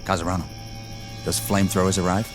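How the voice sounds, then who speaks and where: An actor playing a gung-ho, bullying army lieutenant in a radio drama, curt, commanding and taunting. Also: male voice, sits low, level tone, a little fast, over music, natural voice. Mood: brisk, businesslike.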